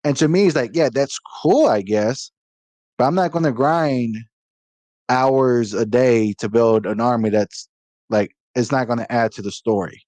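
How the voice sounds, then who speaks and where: A man's voice: mid-range voice, unhurried, darkish tone, no room sound. A man co-hosting a casual gaming podcast, critical and opinionated, repeating his points for emphasis. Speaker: podcast co-host.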